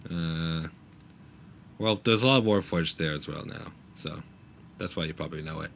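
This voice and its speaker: A man with a deep voice leads a tabletop roleplaying game. He talks in a low-key, casual way with halting phrasing. Faint noise sits behind him. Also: gravelly, fairly bright tone. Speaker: game master.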